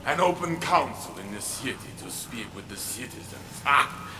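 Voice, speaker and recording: An actor playing a gruff, honorable veteran warrior in a video game, blunt and halting in his speech. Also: medium pitch, expressive, reverberant, noisy background, lightly acted. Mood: wry, wistful. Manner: halting, weighty, plain-spoken. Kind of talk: dramatic video game acting. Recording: music under the voice. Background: game music.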